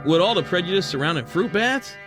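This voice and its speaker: A man playing a wisecracking, soft-hearted penguin private detective in a radio drama, narrating and talking in old-time gumshoe slang. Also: high-pitched, expressive, quick pace, over music. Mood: hardboiled. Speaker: lead actor and narrator.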